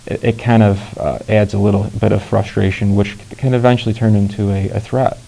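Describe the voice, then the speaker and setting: A man being interviewed as a veteran, reflecting in an informal, halting way. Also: on the low side, little pitch movement, gravelly, dark tone, a little hiss or hum, low-quality recording.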